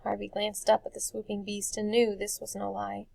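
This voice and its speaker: A woman reading a dark fantasy story for children as expressive scripted narration. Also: medium pitch, normal speed, vocal fry, dry recording. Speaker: audiobook narrator.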